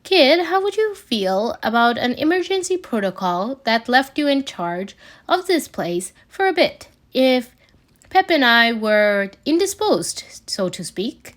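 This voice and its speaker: A woman's voice: a little high, sing-song, unhurried. A woman voicing a casual, reassuring male mentor and inventor in a story reading, with a breezy, informal manner.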